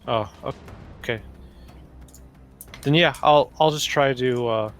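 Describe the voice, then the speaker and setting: A man's voice: mid-range voice, slow pace, a bit bright, faint music behind. A man chatting casually as a player in a tabletop roleplaying game.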